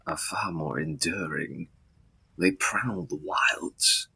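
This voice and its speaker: Male narrator for gothic drama: husky, very forceful and sharp, deliberate, solemn delivery. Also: sits low, poor audio.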